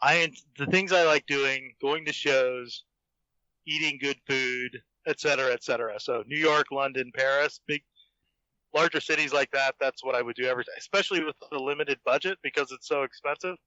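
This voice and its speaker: A man's voice: medium pitch, brisk, sharp tone, dry recording. A man in a casual group podcast chat, speaking as a guest author. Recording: dull, lo-fi voice chat audio.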